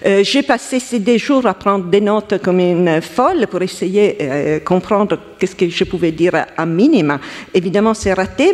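A woman with an Italian accent giving halting, self-correcting academic remarks as a round-table panelist. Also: medium pitch, a little mellow. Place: lecture hall. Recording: long hall reverb.

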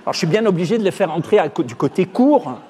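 A man whose first language is Arabic, a theatre director giving a measured, eloquent spoken essay. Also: high voice, big pitch swings, a little mellow. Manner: measured, eloquent, deliberate phrasing. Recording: long hall reverb.

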